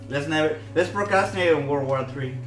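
A man's voice: a little high, fairly quick. A young man chatting casually as an artist co-hosting a live stream. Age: young adult. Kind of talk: casual chat. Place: small room, indoors. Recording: roomy echo. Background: faint music.

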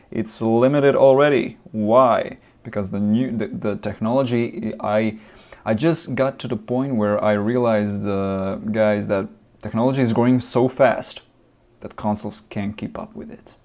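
A man's voice: on the low side, darkish tone. A young man, not a native English speaker, ranting in a casual gaming vlog with lots of restarts and fillers, recorded on a webcam in a small room. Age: young adult. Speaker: gaming vlogger. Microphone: webcam at desk, close framing.

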